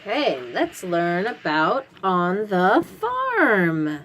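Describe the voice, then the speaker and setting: A woman's voice: mid-range voice, sing-song, drawn-out words, a bit bright, a little hiss or hum. A woman hosting a children's read-aloud, explaining a picture book to little ones in simple, instructive phrasing with questions to the listener.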